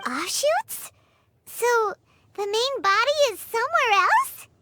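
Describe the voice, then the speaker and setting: A woman voicing a small, excitable, naive fairy companion in a video game, squeaky and childlike. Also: slow pace, heavy background noise, exaggerated voice. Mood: puzzled. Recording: loud music behind the voice.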